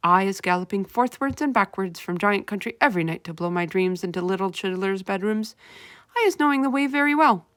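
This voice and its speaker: A woman's voice: medium pitch, animated, fairly quick, a bit bright, no echo, natural voice. A woman voicing a big, friendly old male giant who mangles his words, read aloud from a storybook. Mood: earnest. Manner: mangled words, folksy, earnest.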